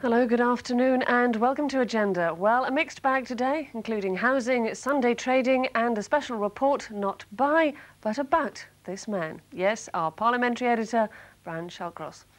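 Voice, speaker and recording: Higher-pitched woman presenting. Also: normal speed. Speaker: current affairs presenter. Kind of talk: scripted studio presenting.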